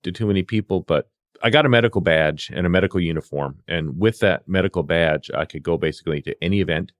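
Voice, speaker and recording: A middle-aged man with a low voice talks quickly through casual stories from his working life. He slips into quoted dialogue, re-enacting exchanges as he tells them. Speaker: podcast guest, veteran EMS worker. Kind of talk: casual anecdotal storytelling.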